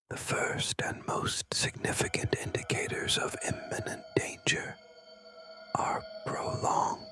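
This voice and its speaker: A man narrating scripted horror warnings in a breathy whisper, deliberate and ominous. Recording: loud music over the voice. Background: loud music.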